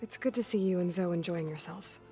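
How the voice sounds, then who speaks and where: Game lead: young female warrior, played by an actress, lowish voice, weary but determined, matter-of-fact. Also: fairly quick, dark tone, soft background music, close to an everyday voice. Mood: weary, friendly. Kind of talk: video game cutscene acting.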